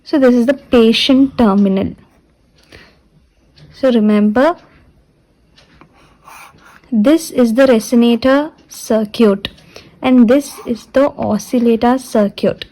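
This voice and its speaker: Woman lecturer, fairly high voice but soft-edged and mellow, explaining technical material step by step with deliberate repetition. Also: rough recording. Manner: repeats and restates points deliberately. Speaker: physiotherapy lecturer.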